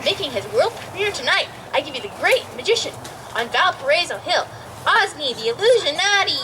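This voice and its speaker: A woman's voice: high-pitched, big pitch swings, brisk, noisy background. A woman hosting a backyard puppet show, announcing the acts with showy build-up.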